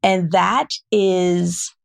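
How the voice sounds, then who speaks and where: A woman's voice: on the low side, steady tone, drawn-out words, gravelly. A woman from Cameroon who is a reproductive endocrinologist, speaking as an interview guest in a blunt, plain-spoken, joking way. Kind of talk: casual interview conversation.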